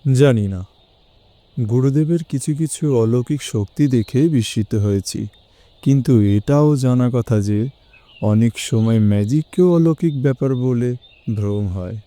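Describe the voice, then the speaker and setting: A man playing an anxious, respectful gentleman in an audio story, speaking in a formal, earnest way. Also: mid-range voice, measured pace, lightly acted. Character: astonished, anxious, respectful gentleman seeking help from detectives. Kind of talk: Bengali audio story acting.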